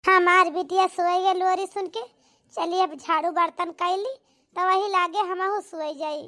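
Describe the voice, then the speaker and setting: A woman voicing a doting, gentle village mother in a homemade cartoon, with an Awadhi accent and a pitched-up, coaxing delivery. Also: steady tone, a little slow, heavily acted. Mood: tender. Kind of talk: homemade cartoon comedy-drama.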